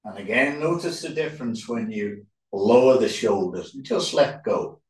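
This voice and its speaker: A man leading a yoga relaxation as instructor, speaking calmly in short, unhurried phrases with pauses. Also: medium pitch. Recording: roomy echo, distant microphone.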